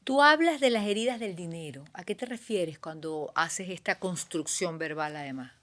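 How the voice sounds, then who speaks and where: A woman host asking measured, probing questions in a radio interview. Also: lowish voice, lively intonation, a bit bright.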